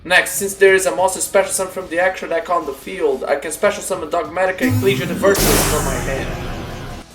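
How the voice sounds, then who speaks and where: A man's voice: high voice, steady tone, fairly quick, close to an everyday voice. A man playing a confident card duelist in a fan roleplay, announcing each move formally and theatrically. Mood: determined. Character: confident male card duelist, chanting dramatic summons. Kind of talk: fan roleplay anime-style duel acting. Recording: roomy echo under music.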